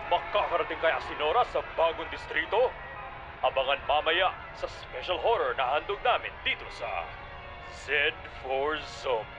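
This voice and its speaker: A man's voice: a little high, expressive, normal speed, lightly acted. A man playing a dramatic announcer for a TV horror special, teasing suspense in promo style. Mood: suspenseful. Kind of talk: TV promo narration. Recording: dull broadcast-like sound under music.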